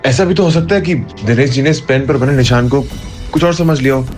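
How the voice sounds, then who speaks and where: An actor playing a meek, earnest young husband in an audio drama, hesitant and placating. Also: male voice, medium pitch, fairly quick, a little mellow, soft background music, close to an everyday voice. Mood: evasive, innocent. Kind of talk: Hindi audio drama acting.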